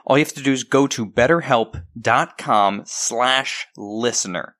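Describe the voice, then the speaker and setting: A man with a mid-pitched voice reads a podcast sponsor spot. He keeps it conversational, like a host talking directly to regular listeners. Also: measured pace, a bit bright. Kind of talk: conversational ad read.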